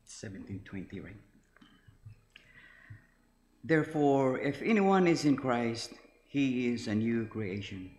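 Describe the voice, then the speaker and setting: A man leading the congregation and reading scripture aloud in a measured way. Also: medium pitch, animated, a little mellow, a little hiss or hum. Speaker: scripture reader.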